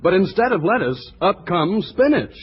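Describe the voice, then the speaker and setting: A man with an American accent delivers a folksy vintage radio sales pitch. His voice is slightly high and husky, with animated pitch, on a dull recording. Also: normal speed. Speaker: announcer. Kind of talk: 1940s radio advertising read. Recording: dull 1940s radio recording with faint music.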